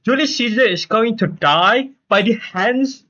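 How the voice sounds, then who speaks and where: A man doing casual live game commentary, halting and repeating himself for emphasis. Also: very high voice, bright, forceful tone. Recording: dull, lo-fi stream audio.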